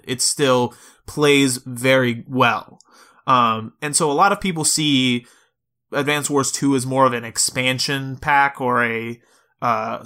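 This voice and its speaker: A man hosting a podcast, casually discussing video games in a measured, explanatory way. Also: medium pitch, bright, forceful tone. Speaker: podcast host.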